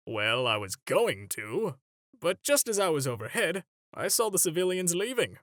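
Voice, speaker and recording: A man playing an older superhero mentor in a comic dub, paternal and gently stern, with a theatrical, measured delivery. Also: fairly high pitch, big pitch swings, no room sound, studio quality. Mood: probing. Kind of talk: dramatic comic dub voice acting.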